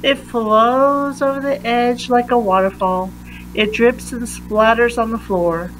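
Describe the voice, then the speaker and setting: Slow-paced woman reading to children. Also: fairly high pitch, fairly even intonation, a little hiss or hum, poor audio. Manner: deliberate, emphatic phrasing for young listeners. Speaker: read-aloud reader. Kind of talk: read-aloud of a children's science picture book.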